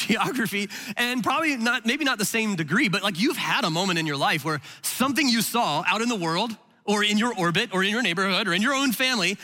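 A middle-aged man preaches in a loose, conversational way and keeps circling back to restart his sentences. His voice sits a bit high and sounds bright and forceful, and he talks quickly. Long reverb from a large hall trails behind every phrase. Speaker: pastor. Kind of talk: sermon storytelling.

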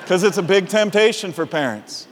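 A man's voice: sits high, a little slow, a faint crowd, slight background noise. A middle-aged male pastor preaching a sermon, telling a personal story with frequent chuckles. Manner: animated storyteller, chuckles often. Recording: reverberant hall.

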